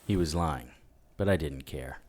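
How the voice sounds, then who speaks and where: A man's voice: very deep voice, a little slow, darkish tone, slightly rough, heavily acted. A man playing a world-weary, wry investigator, narrating a noir audio drama in the first person. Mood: wary. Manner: dry, matter-of-fact, wry.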